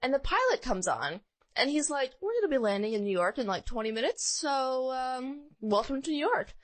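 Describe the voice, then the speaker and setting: A woman co-hosting a podcast in casual chat, telling personal stories in an animated way and acting out what people said. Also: sits high, normal speed. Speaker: podcast co-host.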